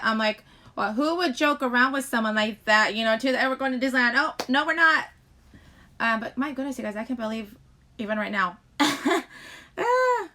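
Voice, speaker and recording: A woman hosting a casual live chat, rambling to viewers in a halting way, often breaking off mid-sentence. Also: a little high, sharp tone. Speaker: live stream host chatting with viewers.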